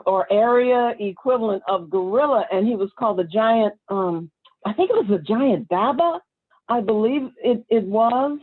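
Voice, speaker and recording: Female former TV host and actor, relaxed interview reminiscence, average pitch, light music bed. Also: phone-quality sound.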